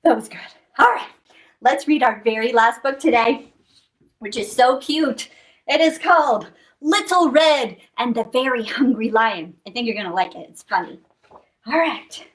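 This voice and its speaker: A woman's voice: fairly high pitch, a little slow. A woman hosting a live storytime for children, playful and exclamatory, talking straight to her young viewers.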